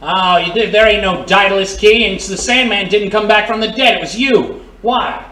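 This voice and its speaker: An actor playing a tough, suspicious reformed crook in a period noir radio drama, slangy and agitated. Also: male voice, high-pitched, moderate pace, sharp tone, far from the mic. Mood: angry, accusing. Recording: roomy, slightly off-mic.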